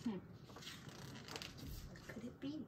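A woman's voice: slow pace, mellow. A woman teacher reading a storybook aloud to young children, expressively, with dramatic emphasis and little asides to her listeners. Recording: slightly distant mic, faint background noise.

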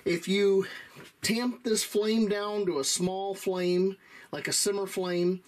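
The man at the workbench in an online gear review, slowly and plainly walking viewers through each part in a folksy way. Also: high-pitched, fairly even intonation, a little mellow. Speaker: product reviewer. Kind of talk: casual hands-on product review.

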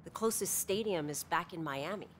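A woman's voice: fairly low pitch, moderate pace, a little hiss or hum, close to an everyday voice. A woman playing a wry, tough young police detective in a video game, with a dry, sardonic delivery. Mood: businesslike. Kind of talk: naturalistic video game acting.

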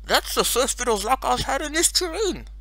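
A woman's voice: high-pitched, sing-song, normal speed, sharp tone, no room sound, voice pushed up, heavily acted. Woman playing a plaintive, cheeky small boy. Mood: pleased. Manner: whining, cheeky.

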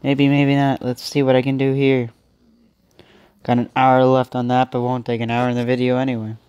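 A man's voice: mid-range voice, completely flat delivery, normal speed, a little mellow, smooth, no echo, muffled recording. A young man with a stuffy nose giving rambling, casual commentary while playing a mobile game. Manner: rambling, thinking aloud with many restarts. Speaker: gaming channel host.

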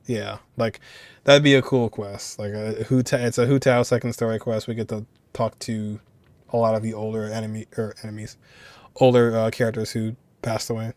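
Young guy reacting to a game, thinking out loud, chill casual talk, dry recording. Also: medium pitch, dry recording. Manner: informal, musing, thinking aloud. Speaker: reaction video host.

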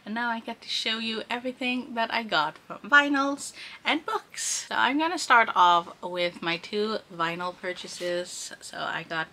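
A woman's voice: fairly high pitch, a little slow, pushed and bright. A woman in her thirties chatting casually as a vlogger, in an informal, rambling way. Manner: chatty, informal, frequent use of "like". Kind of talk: casual chatty vlog talk.

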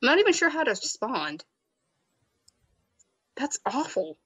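A woman voicing a kind young college student who is earnest and quick to sympathize. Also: high-pitched, very animated, a bit bright, slightly rough, pitched above the performer's own voice, heavily acted.

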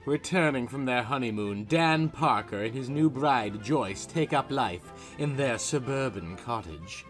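A man narrating a horror comic story in a deliberate, melodramatic scripted style. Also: mid-range voice, expressive, fairly bright tone, faint music behind.

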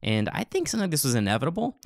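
A man's voice: a little high, very animated, racing, gravelly, dry recording, clean studio sound. A man co-hosting a podcast, chatting and commenting casually. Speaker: podcast co-host.

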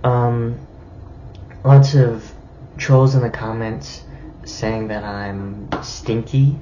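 A man's voice: medium pitch, steady tone, very slow, dark tone. A young man talking hesitantly with fillers into a phone held at arm's length in a small bedroom. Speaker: TikToker. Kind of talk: selfie-camera monologue. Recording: lo-fi phone audio with faint noise.

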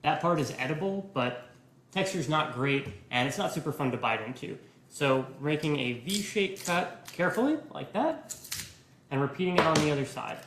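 A young man in his twenties giving a relaxed, step-by-step live cooking demonstration in a home kitchen, filmed by a camera at a distance. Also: fairly high pitch, reverberant, background noise. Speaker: cooking instructor.

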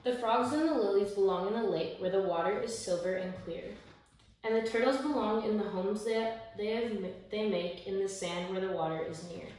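A young woman reading a children's picture book aloud in measured, rhythmic verse, with the odd small stumble. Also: mid-range voice, darkish tone. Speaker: story time reader. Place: small indoor room, seated by a window. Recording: echoey room, distant mic, faint noise.

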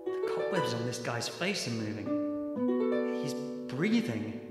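Deep cavern echo and loud plucked music surround a man acting as an irritable, spiteful researcher in a radio drama. His delivery is slow but swings widely in pitch, dramatic and exclamatory. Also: big pitch swings. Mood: awed. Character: male geology researcher, irritable, spiteful, foul-mouthed. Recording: echoey cavern reverb under loud music.

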